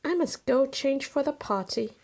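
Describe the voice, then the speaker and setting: A woman's voice: high-pitched, animated, moderate pace, vocal fry, a little mellow. A woman playing a bossy rich wife who commands her servants in a storybook.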